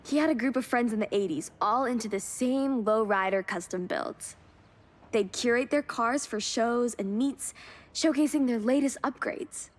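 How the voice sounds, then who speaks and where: A woman's voice: fairly high pitch, normal speed, lightly acted. An actress playing a friendly, sincere young woman who is a car enthusiast in a video game. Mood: nostalgic, heartfelt. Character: May, young enthusiastic, warm-hearted woman car hunter. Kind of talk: video game cutscene voice acting. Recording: engine and ambient noise behind. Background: vehicle sounds and ambience.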